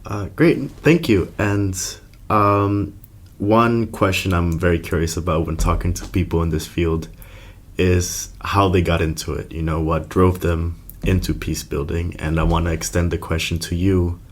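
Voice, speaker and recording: A man's voice: deep voice, steady tone, measured pace. A young man from Nicaragua hosting a podcast, introducing it and putting questions to his guest. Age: young adult. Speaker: podcast host and interviewer.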